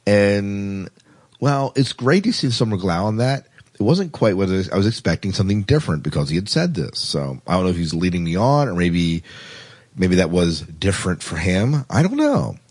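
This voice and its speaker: Male podcast co-host, very low voice, slightly husky and dry-sounding, enthusiastic, conversational. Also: sing-song, moderate pace, darkish tone, dry recording. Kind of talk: casual chat about sci-fi TV.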